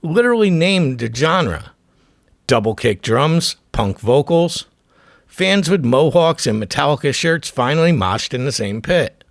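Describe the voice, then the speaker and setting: A man's voice: a little high, big pitch swings, unhurried, gravelly. A man narrating a scripted music documentary in short punchy phrases, with an irreverent, profane edge.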